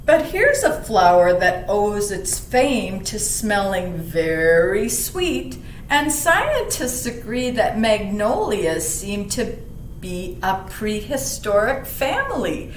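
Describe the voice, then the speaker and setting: A woman reading aloud and explaining to children in a gentle, teacherly manner, stressing key words. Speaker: library story reader. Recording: roomy echo, faint rumbling background noise.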